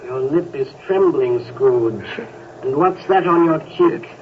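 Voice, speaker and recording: An actor playing a solemn male ghost who leads an old miser through his past, in a 1930s radio drama, speaking slowly and with command. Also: mid-range voice, expressive. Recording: tinny, hissy 1930s radio recording.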